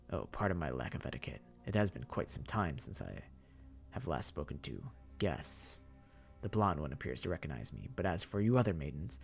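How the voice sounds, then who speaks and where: Deep-ish polite zombie mastermind, a guy putting it on, slow formal menace, faint clicks behind. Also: gravelly, full character voice. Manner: formal, measured and softly menacing. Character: courtly, eerily polite male zombie mastermind.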